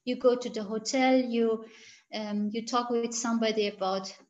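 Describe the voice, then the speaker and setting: Woman researcher with a fairly high voice. Gilaki speaker, careful and halting, on lo-fi video-call audio. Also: steady tone, a little mellow. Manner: halting, searching for words, many restarts. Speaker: interviewed researcher. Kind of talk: interview answers.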